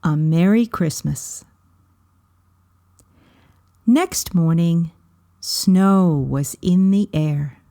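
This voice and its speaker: A woman reading a children's story aloud as scripted narration, in measured, deliberate phrases with frequent pauses. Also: medium pitch, a little mellow.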